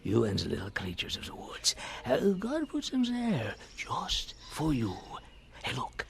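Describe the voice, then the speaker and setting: An actor playing a kindly, devout old French grape farmer with a French accent, speaking in a warm, coaxing way that often drops to a whisper, in a 1950s radio drama. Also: male voice, medium pitch, huge pitch swings, measured pace, vocal fry, full character voice. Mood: gentle. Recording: hissy old radio recording.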